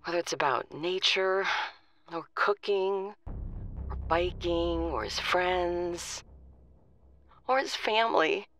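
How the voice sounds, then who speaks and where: A woman's voice: fairly low pitch, takes it slowly, long pauses, a bit bright, a little hiss or hum. An actress playing a weary, worried middle-aged mother of a missing grown son, hesitant and sighing in an audio drama. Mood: anguished, fond. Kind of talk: audio drama phone conversation.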